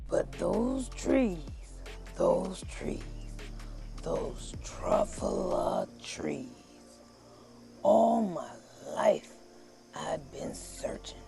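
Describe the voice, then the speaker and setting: Storybook narrator, super slow n really creaky, dramatic swoopy delivery, reading to kids. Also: mid-range voice, mellow. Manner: theatrical, deliberate, drawn-out phrasing. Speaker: storybook reader. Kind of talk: dramatic children's read-aloud narration.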